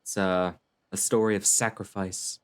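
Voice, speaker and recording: Slow-talking man with a smooth voice. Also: low-pitched, flat delivery. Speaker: game streamer. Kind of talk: casual playthrough commentary. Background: faint game music.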